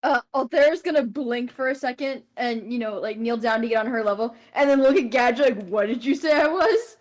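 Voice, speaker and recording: High-voiced woman playing a tabletop game. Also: brisk. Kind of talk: casual improvised roleplay narration.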